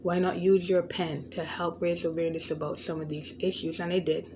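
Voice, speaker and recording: A woman's voice: lowish voice, little pitch movement, brisk, darkish tone, slight background noise. A woman with a stutter and a lisp, hosting a podcast and talking through a personal story in an earnest, reflective way, often restarting words. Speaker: podcast host. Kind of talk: unscripted personal monologue.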